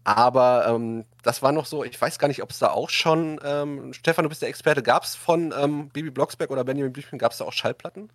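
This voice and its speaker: A man's voice: medium pitch, fairly quick, hardly any pauses, no echo. A man hosting a casual discussion, often restarting his sentences as he searches for words. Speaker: podcast and radio show host. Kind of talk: casual chat, moderating a discussion.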